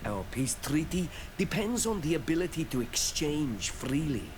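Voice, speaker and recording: A man voicing a proud native island village chief, a measured negotiator in a video game. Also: on the high side, big pitch swings. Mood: grave, diplomatic. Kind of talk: video game dialogue acting. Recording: noisy game capture.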